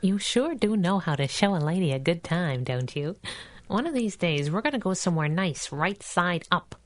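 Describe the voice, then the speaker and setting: Woman playing a wry, low-voiced, animated superheroine. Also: moderate pace, natural voice. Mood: wry, teasing. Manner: sardonic, playful banter. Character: wry, wisecracking young superheroine sidekick. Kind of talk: 1930s-style radio drama acting.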